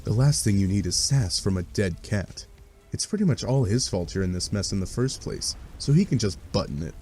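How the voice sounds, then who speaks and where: Man as a wry, low, mellow narrator. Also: expressive, fairly quick. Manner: wry, sardonic. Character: wry, exasperated second-person game narrator. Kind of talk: scripted comic narration.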